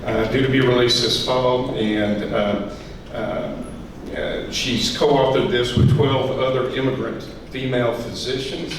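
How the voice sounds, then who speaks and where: A man introducing a commencement speaker at a graduation ceremony, halting often with filler sounds. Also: medium pitch. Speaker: residency faculty introducing the speaker. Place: large hall. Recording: echoey hall, distant and noisy.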